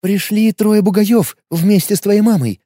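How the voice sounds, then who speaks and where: A nervous young woman, flustered and hurried, her voice lifted high and heavy with dark, mellow warmth as a man reads her part. She tumbles through her words in a close, dry telling. It is a storybook voice for an anxious helper. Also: no echo. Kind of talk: audiobook character voice.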